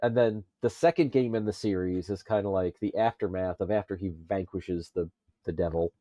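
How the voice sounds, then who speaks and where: A man on a casual pop culture podcast, talking in a halting way as he thinks aloud. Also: sits low, dark tone, no echo. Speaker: podcast panelist.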